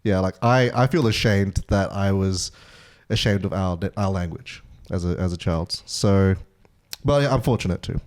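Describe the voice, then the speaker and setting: A man's voice: deep voice, moderate pace. A young man, born in Myanmar, talking in a reflective, hesitant way as a podcast co-host. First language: Burmese. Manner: reflective, hesitant, many restarts. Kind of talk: casual chat.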